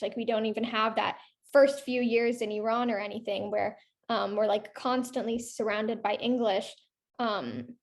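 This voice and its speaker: Teen girl interviewer, higher voice, polite and tentative. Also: darkish tone. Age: teens. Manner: polite, hesitant, many likes and ums. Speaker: interviewer for a youth organization. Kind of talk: interview questions.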